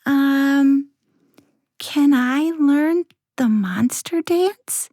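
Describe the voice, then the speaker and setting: A woman's voice: high voice, drawn-out words, warm tone, dry recording, studio quality, voice pushed up. A woman voicing a curious, kind little girl in a children's bedtime story, with a wondering, childlike manner. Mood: shyly hopeful.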